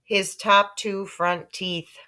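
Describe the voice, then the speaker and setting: A woman's voice: medium pitch, level tone, takes it slowly, bright, forceful tone, smooth, pitched above the performer's own voice. A woman voicing an aggrieved, bossy grade-school boy with a blunt, complaining manner, in a storybook read aloud. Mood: bossy, exasperated.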